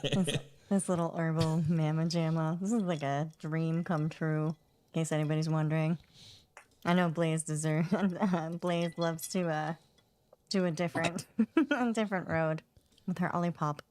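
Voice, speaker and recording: A woman's voice: low voice, fairly even intonation, moderate pace, darkish tone. A woman co-hosting a live podcast, bantering playfully and laughing between quips. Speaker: podcast co-host. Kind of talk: casual live-stream banter.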